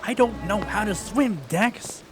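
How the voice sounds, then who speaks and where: A man's voice: high voice, a little slow, music in the background. A man playing a nervous, reluctant young sidekick in an audio drama, full of doubts and complaints. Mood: reluctant, exasperated.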